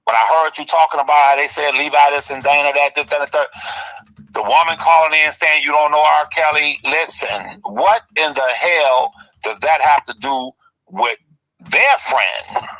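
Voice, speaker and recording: A man with a high, bright voice rants with passion on a talk show. He swings between loud outbursts and low, pressing remarks. The audio is dull and lo-fi. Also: moderate pace, gravelly. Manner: impassioned, ranting, swings loud and soft. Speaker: commentary show host. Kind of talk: heated casual talk.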